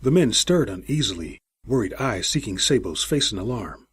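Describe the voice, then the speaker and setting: A male audiobook narrator with a markedly husky, breathy voice. He reads in a dramatic, suspenseful style, his pitch moving up and down freely to carry the prose. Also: moderate pace. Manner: expressive, suspenseful storytelling. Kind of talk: dramatic scripted story narration.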